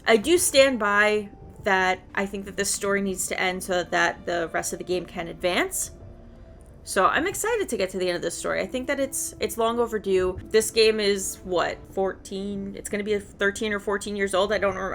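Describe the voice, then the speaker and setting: A woman's voice: mid-range voice, expressive, normal speed, fairly bright tone. A woman giving casual, rambling game commentary as a video creator, thinking aloud and questioning herself as she goes. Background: background music.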